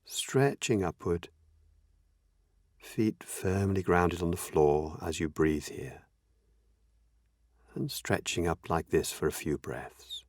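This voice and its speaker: A man leading a guided meditation as a teacher, speaking gently in measured, unhurried phrases with long pauses. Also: low-pitched, no room sound, clean studio sound. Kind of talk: guided meditation, slow spoken instructions.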